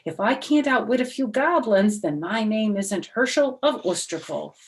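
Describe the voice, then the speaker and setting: A brave wandering trickster hero from a storybook, voiced by a woman reading aloud. He speaks up boldly and emphatically, pitched above her usual talk and full of confidence. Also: animated, moderate pace, background noise. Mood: confident.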